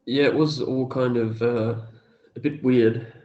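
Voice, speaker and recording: A laid-back man with a mellow, dark voice that stays fairly flat. He talks casually and understatedly, as a guest in an interview. The recording is a little dull. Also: mid-range voice, measured pace. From Australia. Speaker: cafe owner and interview guest.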